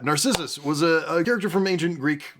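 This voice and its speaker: A man's voice: fairly high pitch, fast talker, a crowd in the background. A man co-hosting a casual film analysis chat as a filmmaker, jokey and playful, often laughing. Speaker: filmmaker co-host.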